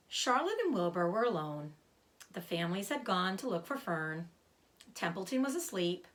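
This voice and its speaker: Animated woman reading a storybook aloud. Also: on the low side. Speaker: read-aloud host. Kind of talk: expressive children's storybook narration.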